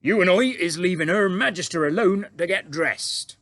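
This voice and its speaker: A man voicing a big, friendly male giant who talks in an earnest, booming way and mangles his words, read aloud from a storybook. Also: moderate pace, fairly bright tone, no room sound.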